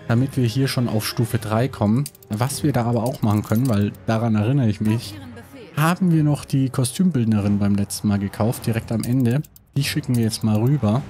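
A man's voice: medium pitch, mellow. A man with a cold, casually commenting on his building game while thinking aloud with many hesitations. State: has a cold. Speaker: let's play host.

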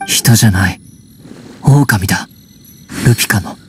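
A man voicing a wary teenage boy raised by wolves in an anime game, speaking in halting, terse fragments. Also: lowish voice, noisy background, close to an everyday voice. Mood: solemn. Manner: halting, terse broken phrases. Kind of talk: anime video game voice acting.

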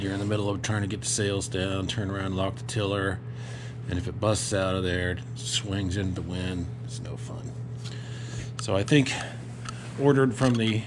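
Low-voiced man explaining slowly in a workshop. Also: noisy background. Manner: relaxed, explains as he works, some hesitations. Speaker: boat builder and vlogger. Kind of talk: casual workshop narration. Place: garage and home woodshop.

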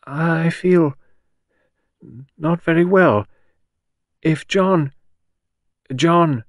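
A man's voice: mid-range voice, lively intonation, moderate pace, no echo, clean studio sound, pitched above the performer's own voice, lightly acted. A man voicing a bewildered, apologetic, anxious widow in an audiobook, with a vague and plaintive way of talking. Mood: faint, distressed.

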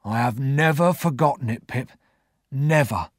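A male reader plays a rough old convict in his sixties from a storybook. His voice is husky and worn, and he takes his words slowly, with humble, weary fondness. The sound is close and dry. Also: mid-range voice, no echo. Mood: fond, earnest. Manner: slow, quiet, deliberate.

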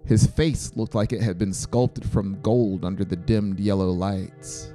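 A man's voice: lowish voice, fairly even intonation, a little slow, dark tone, faint music behind. A man in his thirties narrating a scripted story as an audiobook reader, close on the microphone. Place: small brick-walled home studio. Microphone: close dynamic mic on a boom arm.

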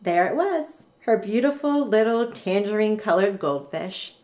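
A woman reading a children's picture book aloud in an expressive, deliberate way with dramatic pauses. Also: mid-range voice. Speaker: storybook reader.

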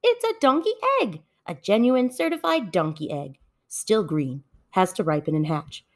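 A woman voicing a clever, mischievous male fox salesman with excitable, wheedling patter in a storybook. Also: medium pitch, moderate pace, lightly acted. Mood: excited pitching.

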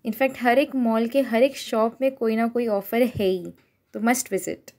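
A woman's voice: a little high, takes it slowly. A young woman chatting casually as she tours a busy shopping mall, mixing Hindi with English, recorded on a handheld phone. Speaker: vlogger. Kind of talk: casual mall tour vlog. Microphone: handheld phone camera. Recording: noisy crowded mall on a phone. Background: loud crowd chatter and mall noise.

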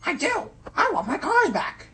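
A woman's voice: very high voice, huge pitch swings, measured pace, fairly bright tone, full character voice. A woman playing cheeky, squabbling young male twin tank engines in a children's story, with indignant, childlike protests. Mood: defiant. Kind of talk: children's storybook character acting.

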